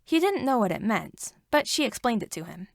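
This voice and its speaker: A woman narrates a story in a fairly high voice with noticeable vocal fry. The sound is dry and close, and she reads expressively, dropping in wry asides. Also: very animated, brisk, dry recording. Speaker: podfic reader. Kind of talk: fan fiction narration, read aloud.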